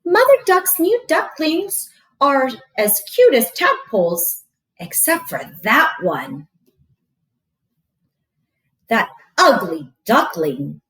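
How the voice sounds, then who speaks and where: A woman's voice: sits high, sing-song, slow, long pauses, fairly bright tone, roomy sound, recorded from a distance, heavily acted. A woman voicing a proud, judgmental frog parent in a children's storybook. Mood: disdainful.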